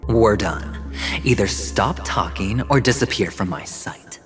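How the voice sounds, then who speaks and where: An actor playing a guarded, weary young gambler in a video game, speaking in a measured, dry, hesitant way. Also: male voice, on the low side, animated, soft background music. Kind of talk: dramatic video game acting.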